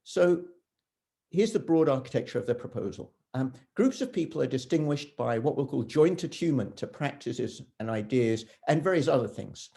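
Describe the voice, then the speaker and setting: A man's voice: medium pitch, expressive, vocal fry, warm tone. A man speaking as an academic at a conference, loosely delivering his talk and often breaking off to rephrase himself. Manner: halting, self-interrupting, with frequent rephrasing.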